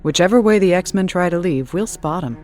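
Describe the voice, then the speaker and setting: A woman's voice: fairly low pitch, expressive, normal speed, darkish tone, soft background music, lightly acted. A woman playing a confident, fanatical militant villain in an audio drama, controlled and assured.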